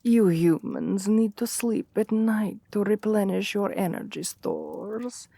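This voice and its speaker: A woman playing an assertive, seductive vampire queen in an ASMR roleplay, speaking softly and often slipping into a whisper, coaxing and commanding. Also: medium pitch, no echo, clean studio sound, heavily acted. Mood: soothing. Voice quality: soft, breathy, often sinking into whisper. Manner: slow, commanding yet coaxing, formal endearments. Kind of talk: ASMR roleplay, slow soothing bedtime delivery.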